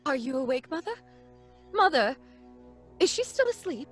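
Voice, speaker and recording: A woman's voice: sits high, big pitch swings, normal speed, soft background music. An actress playing a frightened, gasping young woman at a séance in an old-fashioned radio horror drama. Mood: uneasy. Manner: gasping, anxious, pleading.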